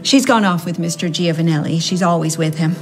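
A woman's voice: fairly low pitch, brisk, lightly acted. Fretful, chattering mother played by an actress. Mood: fretful, chatty. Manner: rambling, gossipy. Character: fretful, chatty middle-aged American mother, easily worried. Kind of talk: radio drama acting. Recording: music under the dialogue.

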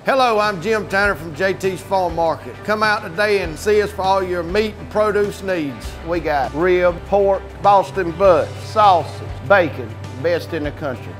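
High-pitched man pitching over music. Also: unhurried, hardly any pauses. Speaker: business owner advertising his market. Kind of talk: local commercial pitch.

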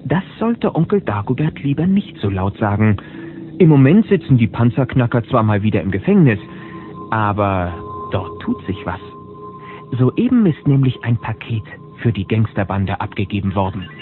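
A man's voice: on the low side, lively intonation, moderate pace, narrow, tinny audio, lightly acted. A man narrating a German children's audio drama as a wry, knowing storyteller. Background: music.

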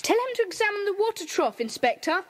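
A woman voicing a quick-thinking, eager young boy detective in an audio drama. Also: extremely high pitch, very animated, slight background noise.

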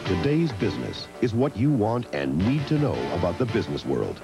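A man's voice: fairly low pitch, big pitch swings, fairly quick, creaky. A man delivering dramatic scripted narration for a television promo. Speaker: TV promo announcer. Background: music.

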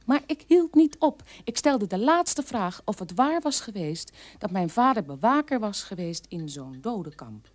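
An actress playing a bitter adult daughter of a war criminal, recounting her childhood in an emphatic, halting radio play monologue. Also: mid-range voice, very animated. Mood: insistent, bitter.

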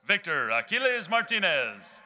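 A young man with a Texan accent, reading graduates' names one by one from a podium microphone on a large arena stage, in a loud, measured announcing voice. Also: high-pitched, big pitch swings. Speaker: graduation name reader.

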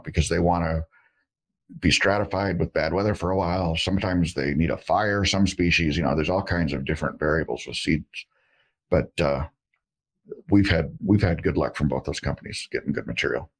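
A middle-aged man with a very low, gravelly and somewhat husky voice, speaking over a video call. He talks as an herbalist guest, folksy and joking, rambling along with plenty of fillers. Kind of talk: casual interview talk. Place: indoor room with shelves of jars.

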